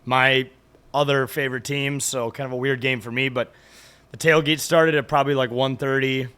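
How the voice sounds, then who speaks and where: A man's voice: mid-range voice, steady tone, normal speed, sharp tone, smooth. A man hosting a sports podcast, brash and joking, swearing freely in casual chat. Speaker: podcast host.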